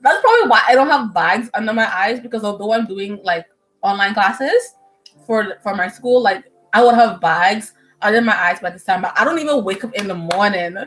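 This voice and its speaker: A young woman from the Bahamas, a medical student, chatting casually with viewers on a livestream at home, animated and full of fillers and laughs. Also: mid-range voice, normal speed, rough recording. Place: indoors at home. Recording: soft music playing behind.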